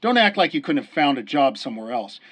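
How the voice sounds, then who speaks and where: A man's voice: mid-range voice, sing-song, fast talker, a bit bright, slightly rough. A man playing a cynical hard-boiled cop in a 1930s-style radio drama, sarcastic, slangy and contemptuous. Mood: scornful. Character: cynical, sneering hard-boiled male cop, quick to accuse. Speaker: police interrogator.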